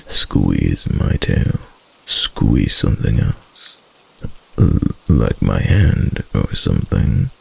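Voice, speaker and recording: Casting a male actor for a clingy yandere fox-spirit husband, intimate ASMR roleplay; slow, heavily creaky murmur, tender and obsessive. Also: very animated, a little mellow, heavily acted. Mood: adoring, playful. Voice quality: deep, breathy, gravelly. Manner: slow, hesitant, coaxing endearments.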